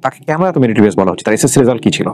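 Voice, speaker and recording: A man's voice: medium pitch, expressive, racing, darkish tone. A man with a Bangladeshi accent hosting an interview, asking probing questions and mixing English words into his speech. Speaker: radio show host interviewing a guest.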